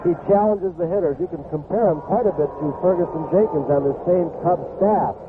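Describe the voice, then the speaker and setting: Through a narrow, noisy vintage radio signal comes an American man with a moderately high voice. He works as a baseball color commentator, explaining and analyzing the game live. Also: fairly quick.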